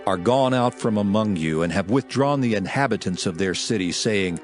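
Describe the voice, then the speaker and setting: A man reads scripture in a solemn, declamatory style, as in a dramatized audio Bible. His voice sits fairly low and moves widely up and down in pitch. Music plays clearly behind him. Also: expressive, normal speed. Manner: solemn, declamatory, archaic diction. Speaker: scripture reader.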